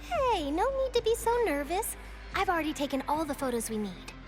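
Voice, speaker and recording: A woman's voice: sits high, sing-song, fairly quick, faint music behind. An actress playing a bubbly, inquisitive young woman news reporter in a video game, effusive, playful and polite. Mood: effusive, flattering.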